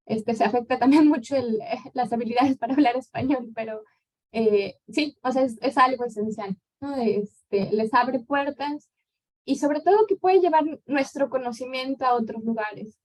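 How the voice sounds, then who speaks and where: A woman in her thirties from Mexico with a Mexican accent, answering questions as a guest lecturer in a relaxed, conversational way. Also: fairly high pitch, low-quality recording. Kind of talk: conference Q&A answer.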